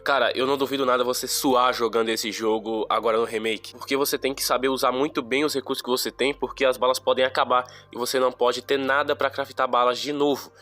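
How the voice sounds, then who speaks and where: A young man with a Brazilian accent talks through a game review in a loose, slangy, rambling way. His voice sits at an ordinary middle pitch and sounds nasal and congested from a cold. Faint music plays quietly behind him. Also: flat delivery, fast, hardly any pauses. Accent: Brazilian Portuguese. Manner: slangy, chatty, rambling asides. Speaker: gaming YouTuber. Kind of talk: casual game review monologue.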